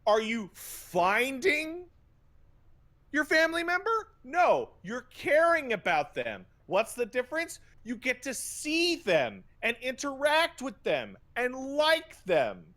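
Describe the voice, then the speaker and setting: A man's voice: very high-pitched, wildly swooping pitch, slow pace, pushed and bright. A man co-hosting a podcast, chatting casually about video games in a blunt, profane, emphatic way. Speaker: podcast co-host.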